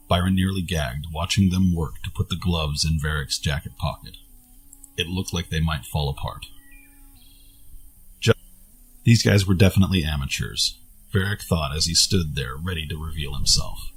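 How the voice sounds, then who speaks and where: A man's voice: deep voice, poor audio. A man narrating an action audio drama in a scripted storytelling style. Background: music.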